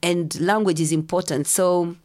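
A middle-aged woman from Kenya, telling lively stories as a podcast guest and acting out the voices of people she quotes. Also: lowish voice, normal speed. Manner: animated storyteller, acts out quoted voices. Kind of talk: casual storytelling interview.

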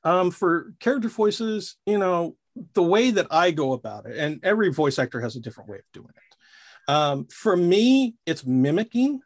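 A middle-aged man chats about his work as a voice actor. His voice is a little husky and sits a touch high, and his pitch jumps around a lot as he talks with animated energy. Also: moderate pace. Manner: animated, demonstrates character voices mid-sentence. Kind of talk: casual interview chat.

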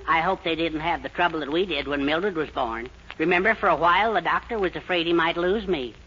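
An actor playing a meek, plaintive middle-aged henpecked husband in 1940s radio comedy style. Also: male voice, fairly high pitch, a little fast, natural voice. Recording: dull, noisy old radio recording.

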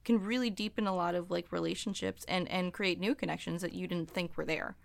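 A woman's voice: lowish voice, steady tone, brisk, vocal fry. A young American woman interviewing a guest, chatting casually and saying "like" often. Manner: friendly, chatty, uses "like" often.

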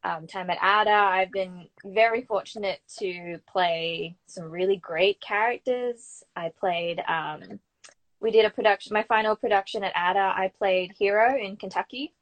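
Young woman, Australian accent, mid-pitched voice, casual interview guest, informal storytelling. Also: normal speed. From Brisbane, Australia. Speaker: guest, actor and acting student.

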